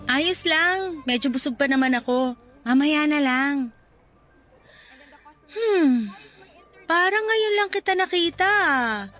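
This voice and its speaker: High-voiced, friendly young Filipino woman. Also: expressive, slow, pauses often, fairly bright tone, exaggerated voice. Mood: playful. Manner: playful, chatty and encouraging. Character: friendly, encouraging, outgoing young woman volunteer, a self-described introvert. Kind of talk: Tagalog radio drama acting, conversational. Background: background music.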